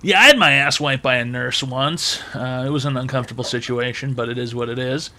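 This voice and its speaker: Brash, bright-voiced male advice host. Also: mid-range voice, fairly quick. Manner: brash, mocking, profane, loud outbursts. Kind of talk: crude call-in advice banter.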